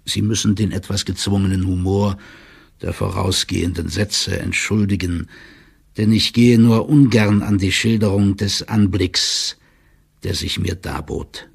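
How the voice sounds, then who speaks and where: An actor playing a stout official who reads out a stiff, drily ironic report in an audio drama, in a gravelly, husky, measured voice. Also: male voice, sits low, fairly even intonation, full character voice. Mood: stiff, wry. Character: stout male official giving a stiff, wry written report.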